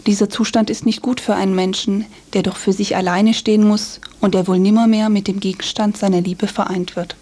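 Woman acting a young 19th-century poetess, mellow voice, mid pitch, grave, measured, melancholy letter reading. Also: flat delivery, lightly acted. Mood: sober yearning. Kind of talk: literary letter reading in a radio feature.

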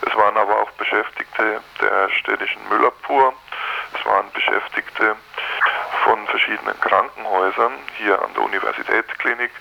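A man giving measured, hesitant answers as a union official over a noisy telephone line. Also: lowish voice, creaky, bright, forceful tone.

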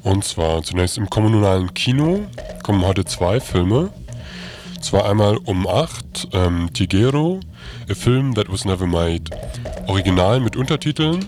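A man presenting on radio, reading news and event listings in an informal, halting way. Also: on the low side, sing-song. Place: radio studio. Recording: music playing under the voice. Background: loud music.

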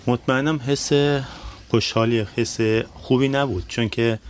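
A man's voice: medium pitch, steady tone, very fast. A man from Iran answering interview questions about his own experience. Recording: faint background noise.

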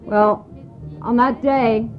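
An American woman with a slightly high voice gives a personal account phrase by phrase. The recording is dull and lo-fi, with faint music underneath. She speaks haltingly, in short portions. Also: fairly even intonation. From United States. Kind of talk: personal testimony, spoken in short portions for interpretation. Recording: dull lo-fi archive radio tape.

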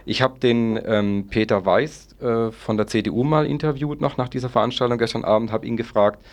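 Fast-talking, creaky-voiced male interviewer. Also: medium pitch. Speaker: radio interviewer. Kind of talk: unscripted interview talk.

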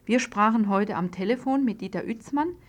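Woman, medium pitch, radio reporter, scripted news read. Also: normal speed.

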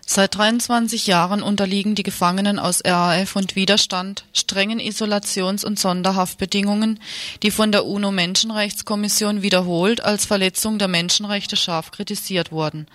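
A woman reads a prepared statement for radio news. Her pitch is average for a woman, and the studio recording is dry, without room sound. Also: steady tone, normal speed, fairly bright tone, dry recording. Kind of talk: reading a written statement aloud.

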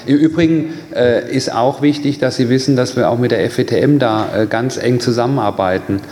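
A man's voice: medium pitch, fairly quick, darkish tone. A man answering as an official in a committee meeting, explaining things off the cuff. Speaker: city administration official. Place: large indoor meeting room. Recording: echoey meeting hall with clear background noise.

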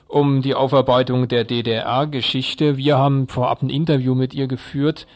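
Even-toned man presenting radio news. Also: medium pitch, dry recording. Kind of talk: semi-scripted programme preview.

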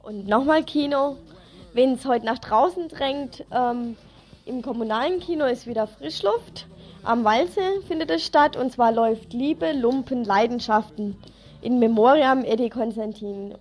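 A woman's voice: fairly high pitch, measured pace, darkish tone. A woman presenting event tips on local radio in an informal, colloquial manner.

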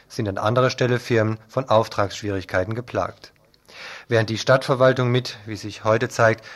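A man presenting scripted radio news commentary in a pointed, critical manner. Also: medium pitch.

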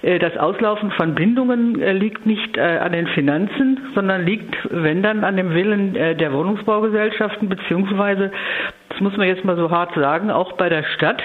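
A woman giving a spontaneous political interview as a local council leader, speaking in a measured, emphatic way with careful wording. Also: medium pitch. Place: phone call to a radio programme. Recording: narrow-band phone line.

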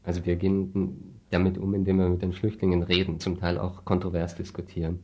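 Very deep-voiced man, flat delivery. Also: fairly quick. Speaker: interview guest, refugee aid activist. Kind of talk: radio interview, earnest explaining.

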